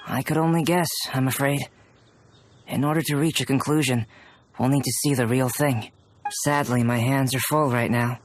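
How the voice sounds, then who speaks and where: A man voicing a composed, courteous young scholar in a video game, speaking in a measured, analytical way. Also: medium pitch, fairly even intonation, dry recording, faint music behind, lightly acted. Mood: calm, analytical. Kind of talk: video game character acting.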